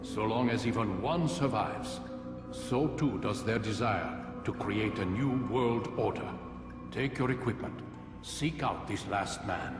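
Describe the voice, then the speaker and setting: An actor playing an aged, commanding assassin master in a video game, speaking as a grave, oratorical mentor. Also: male voice, on the low side, measured pace, roomy sound, big-room sound, recorded from a distance, music in the background, natural voice. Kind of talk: video game cutscene dialogue.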